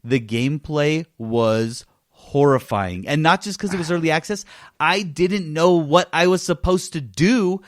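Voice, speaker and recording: A man co-hosting a gaming podcast, telling stories in casual chat with animated, emphatic repetition. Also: a little high, a little slow, no room sound, studio quality. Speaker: gaming podcast co-host and reviewer. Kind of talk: casual chat, game review.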